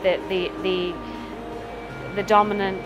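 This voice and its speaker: A woman's voice: mid-range voice, level tone, slow pace, muffled recording. An older woman giving a thoughtful, analytical interview comment in a large hall. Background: loud background music.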